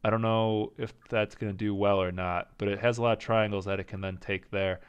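A man casually commenting as he plays a strategy game, thinking aloud and second-guessing his choices, with a slight rasp and an occasional cough. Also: fairly low pitch, flat delivery, fairly quick, dull audio. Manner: thinking aloud, indecisive, self-talking. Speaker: gaming channel host. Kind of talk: casual let's-play commentary.

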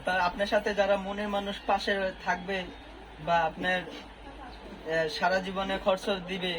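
A man's voice: high-pitched, steady tone, measured pace, music in the background. A man with a Bangladeshi accent doing a casual interview, asking chatty, prodding questions. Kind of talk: casual street-report style interview. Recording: noisy location with clear background noise.

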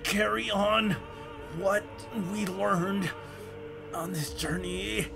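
An anguished hero struggles against the demon inside him as a man acts it out in a dramatic monologue. His speech is very slow, halting and pleading, with a gravelly, tense strain. Soft music runs behind the voice. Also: high-pitched, steady tone, full character voice. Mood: pained pleading. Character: anguished young hero fighting demonic possession, self-sacrificing. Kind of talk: dramatic voice acting practice monologue.

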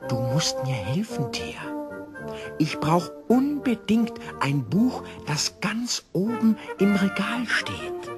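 A man's voice: high-pitched, wildly swooping pitch, slow pace, over music, pitched above the performer's own voice, heavily acted. A man voicing an eager, curious, wilful little boy king in a children's story, childlike in manner. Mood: pleading.

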